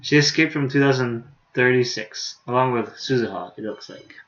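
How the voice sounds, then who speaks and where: A man livestreaming a visual novel, reading the dialogue aloud haltingly with stumbles and self-corrections, and adding casual commentary. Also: mid-range voice, a bit bright, dull audio.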